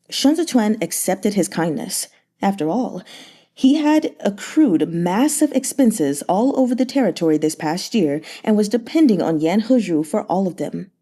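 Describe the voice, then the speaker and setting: A woman reading a novel aloud for a read-along, in a steady, measured voice. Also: mid-range voice. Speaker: audiobook reader.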